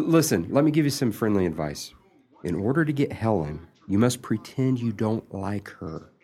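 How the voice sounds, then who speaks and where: A man's voice: mid-range voice, darkish tone, slightly rough. An actor playing a mild, helpful male friend in a radio comedy, speaking in an earnest, theatrical way. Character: meek, helpful old friend giving sly romantic advice. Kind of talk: radio comedy play acting.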